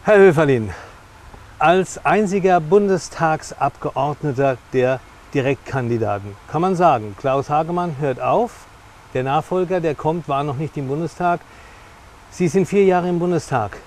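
A man's voice: on the high side, normal speed, darkish tone. A middle-aged man hosting an interview, speaking emphatically and repeating phrases for stress. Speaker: interviewer and host. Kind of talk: television interview presenting. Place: outdoor lakeside café terrace. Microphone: lapel mic. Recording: clear outdoor background noise.

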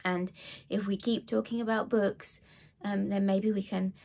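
A woman in her thirties with a cold and a croaky voice, chatting to camera about books she read, with lots of ums and asides. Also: mid-range voice, fairly even intonation, unhurried, a little mellow. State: hoarse from a cold. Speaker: book vlogger. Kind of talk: casual chat to camera. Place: living room with bookshelves.

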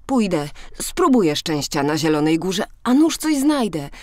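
A woman's voice: lowish voice, very animated, a little slow, darkish tone, dry recording, clean studio sound. A woman voicing a miller's youngest son, a mistreated, determined boy, earnestly in a storybook reading. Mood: sorrowful, resolute.

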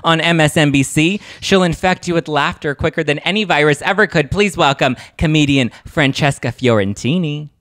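A young man hosting a podcast from his home kitchen, giving lively scripted introductions into a handheld mic. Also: fairly high pitch, moderate pace, hardly any pauses, no room sound, clean studio sound. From Los Angeles. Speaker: podcast host. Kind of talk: casual panel chat. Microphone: handheld mic with headphones.

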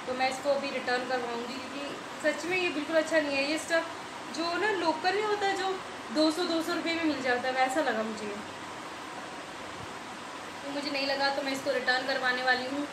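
Casting: high-pitched woman in her thirties doing a rambling, repetitive home unboxing vlog, recorded in an echoey tiled room. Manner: chatty and rambling, repeats herself; gets more emphatic when complaining. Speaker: vlogger. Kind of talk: casual product unboxing review. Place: small tiled room indoors. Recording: echoey tiled room, loud background hiss.

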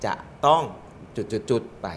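A middle-aged man, a studio talk show guest, explaining with lively analogies and rising emphasis. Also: takes it slowly, a little hiss or hum. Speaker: guest, trade chamber secretary.

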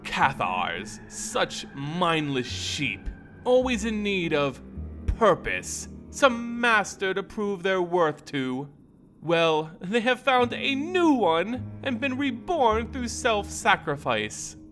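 A man voicing a gloating, cruel female vampire lord in a slow, theatrical fantasy story reading. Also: high-pitched, big pitch swings, fairly bright tone, faint music behind, voice pushed up, exaggerated voice. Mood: mocking. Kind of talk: dramatic fantasy audiobook character voice.

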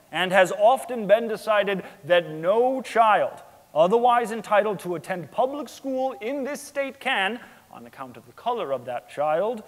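A young man playing a formal, insistent man of law in a theatrical courtroom reading, in a theater. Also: high voice. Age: young adult. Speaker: student actor in a staged dramatic reading. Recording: echoey theater hall.

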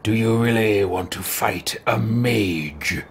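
Slow, husky man reading in character. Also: on the low side. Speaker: video game streamer playing in character. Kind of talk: role-played reading of dialogue choices.

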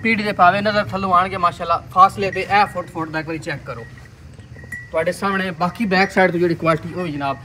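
A man's voice: sits high, steady tone, fast, pushed and bright, a little hiss or hum. A man with a Punjabi accent presenting cows for sale in an outdoor farmyard, with hearty salesman patter aimed at his viewers. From Pakistani Punjab. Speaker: livestock video presenter.

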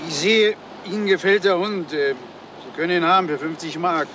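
An actor plays a shifty street dog seller, evasive and hesitant. His male voice is fairly high, with clear traffic noise behind in an old radio play. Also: natural voice. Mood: wheedling. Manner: halting, evasive. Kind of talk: German radio crime comedy acting, 1970s.

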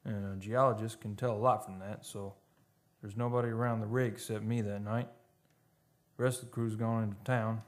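An actor playing a rough, folksy oil roughneck telling his tale in colloquial speech, in an old-time radio drama style. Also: lowish voice, level tone, brisk, close to an everyday voice. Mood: musing, storytelling. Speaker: actor and narrator in radio play. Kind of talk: old-time radio drama acting, first-person storytelling. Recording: live radio studio performance with music.

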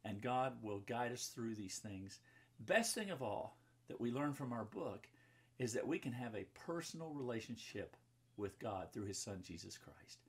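Male pastor, 50s, mid-pitched with a husky edge, telling Bible stories to children in simple, explanatory language. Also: animated, normal speed. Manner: simple child-directed phrasing with explanatory asides. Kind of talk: children's Bible storybook reading and talk. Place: book-lined room indoors.

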